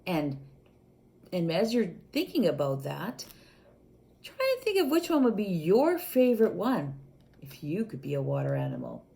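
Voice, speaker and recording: A woman reading a storybook aloud to children, animated and asking them questions, sometimes dropping to a whisper. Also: fairly low pitch, moderate pace, creaky.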